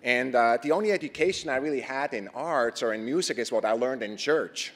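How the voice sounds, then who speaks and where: A man with a Swiss accent giving an earnest conference talk, posing rhetorical questions and pausing on fillers. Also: mid-range voice. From Switzerland. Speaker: conference presenter. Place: conference stage. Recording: reverberant lecture hall.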